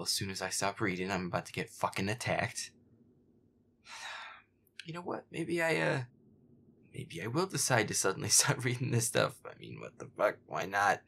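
A man giving irritable, foul-mouthed gameplay commentary in a breathy, often whispered mutter. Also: sits low, sharp tone, slight background noise. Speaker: gamer playing a horror game in character.

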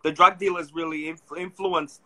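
A man hosts a relaxed interview from a small room, talking into his phone. His voice sits a touch higher than most men's, and he circles around his questions with many restarts. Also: moderate pace. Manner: laid-back, rambling questions with many restarts. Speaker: host. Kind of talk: casual interview on a live video call. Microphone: phone front camera.

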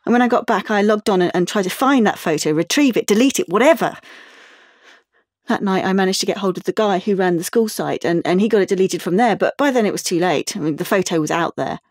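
An actress playing a sensitive teenage art student, confiding haltingly in an audio drama monologue. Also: female voice, medium pitch, no room sound, clean studio sound, natural voice. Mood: anguished.

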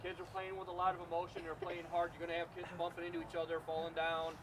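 A man's voice: on the high side, racing, background noise. A man giving an informal, encouraging speech to a group outdoors as a youth sports organizer, picked up from a distance. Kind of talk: informal award-ceremony speech. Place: outdoors on a sports field at night. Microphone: camera mic at a distance.

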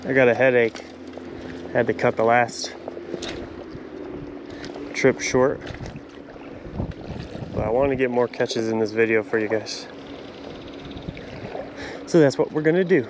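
A young man filming himself fishing on a riverbank with a head-mounted camera, chatting casually and getting excited over catches. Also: mid-range voice, unhurried, pauses often, noisy background. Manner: excitable, swears when fish strike. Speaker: fishing vlogger. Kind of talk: casual running commentary while fishing. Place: outdoors on a riverbank. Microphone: head-mounted action camera. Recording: music playing under the voice.

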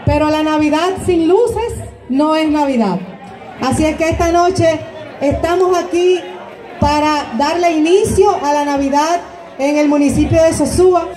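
A woman's voice: sits high, a little slow, mellow. A woman speaking formally from an outdoor stage at night, calling names and opening an event on a handheld mic. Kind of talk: public address opening an event. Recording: outdoor stage with crowd noise.

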